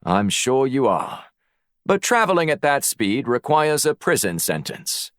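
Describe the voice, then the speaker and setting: A man's voice: mid-range voice, big pitch swings, fairly bright tone, dry recording, clean studio sound, voice pushed up. Man voicing a stern, formal police officer. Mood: stern, unamused. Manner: formal, measured, unamused. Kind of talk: audiobook character dialogue.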